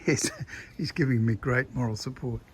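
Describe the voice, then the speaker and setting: An Australian man with a croaky voice talking casually about his restoration work, rambling and chatty with a self-deprecating humour. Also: mid-range voice, normal speed, a little hiss or hum. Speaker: car restoration vlogger. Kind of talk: casual workshop commentary. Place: home shed.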